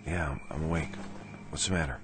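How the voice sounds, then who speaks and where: A man's voice: extremely low pitch, fairly quick. A man acting in a radio drama as a serious, uneasy army sergeant, earnest and clipped. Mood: weary, firm. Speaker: actor. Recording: radio drama with music underneath.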